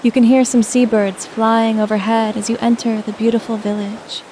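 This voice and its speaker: Woman, guided meditation narrator, warm mellow tone, gentle soothing delivery, scripted sleep narration, faint wave noise behind. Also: on the high side, little pitch movement, normal speed, rough recording.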